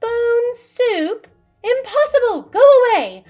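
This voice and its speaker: A spooky, grumpy ghost from a storybook, acted by a woman. It exclaims indignantly in a high voice that leaps up and down. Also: lively intonation, slow pace, darkish tone, faint music behind, heavily acted. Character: spooky, grumpy ghost shooing visitors away. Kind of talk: children's storybook character reading.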